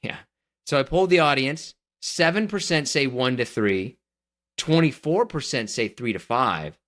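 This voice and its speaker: A man's voice: medium pitch, expressive, measured pace, a bit bright. A man co-hosting a podcast, chatting casually and giving blunt, emphatic opinions. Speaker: podcast co-host. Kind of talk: casual chat.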